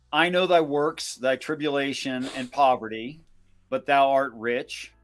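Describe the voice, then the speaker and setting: A man giving informal scripture commentary and reading verses aloud in a measured, explanatory way. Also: mid-range voice, fairly bright tone. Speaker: Bible discussion co-host.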